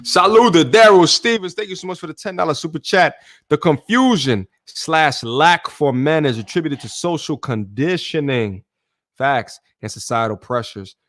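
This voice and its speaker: A man's voice: fairly high pitch, normal speed, no room sound. Man hosting a livestream, dry close sound. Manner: calm, reflective, reads out viewer comments. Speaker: host. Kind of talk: livestream discussion.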